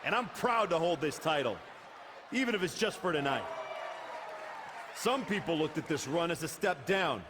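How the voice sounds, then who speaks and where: A man acting as a proud male wrestler on a comeback in a game cutscene. His fairly high voice is earnest and determined, emphatic, with a noisy arena crowd behind. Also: animated, normal speed, pauses often, lightly acted. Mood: proud, resolute. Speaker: pro wrestler.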